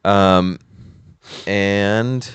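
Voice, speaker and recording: A man's voice: fairly low pitch, steady tone, very slow, fairly bright tone, clean tone, no room sound. A young man co-hosting a casual chat podcast, easygoing and guiding the talk, a little under the weather. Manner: easygoing, chuckling, steers the segments. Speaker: podcast co-host. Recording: dull lo-fi sound.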